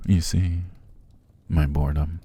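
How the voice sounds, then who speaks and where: A man playing a cruel, haughty, possessive vampire master in an ASMR roleplay, with a gravelly, breathy voice and a condescending, commanding manner. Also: very deep voice, steady tone, dark tone, no room sound, heavily acted. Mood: coldly amused, menacing. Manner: slow, condescending, commanding, drifting into whispers. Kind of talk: ASMR roleplay, scripted character monologue.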